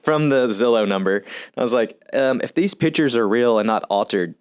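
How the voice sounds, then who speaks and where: A man's voice: mid-range voice, animated, moderate pace, clear, resonant tone. A young man, a podcast guest, telling his story loosely and laughing between sentences. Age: young adult.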